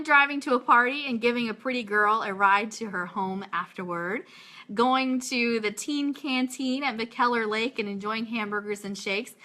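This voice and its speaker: A woman's voice: a little high, pushed and bright. An American woman hosting a live-streamed radio show in a chatty, informal way.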